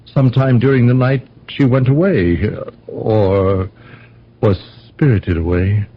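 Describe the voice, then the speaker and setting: A man's voice: medium pitch, fairly even intonation, measured pace, close to an everyday voice. An actor playing a flustered, earnest house guest in a radio drama, stammering and repeating his words in agitation. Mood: uneasy. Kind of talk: old-time radio drama acting. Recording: dull lo-fi radio recording.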